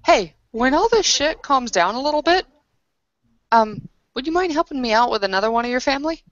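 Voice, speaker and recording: A woman's voice: sits high, expressive, fairly quick, no echo, muffled recording. Casual woman player, dry close sound. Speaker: tabletop roleplaying player. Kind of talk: casual in-character game dialogue.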